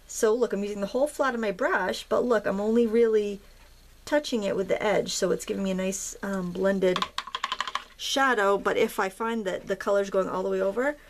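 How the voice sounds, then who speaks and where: A woman hosting a craft tutorial, chatting in a relaxed, rambling way as she paints. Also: mid-range voice, moderate pace, slight background noise. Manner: relaxed, rambling, with fillers and soft laughs. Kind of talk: casual chatty tutorial narration.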